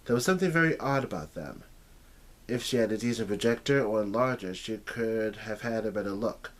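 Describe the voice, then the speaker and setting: A man narrating a story as if for an audiobook, occasionally stumbling and repeating phrases as he reads. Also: fairly low pitch.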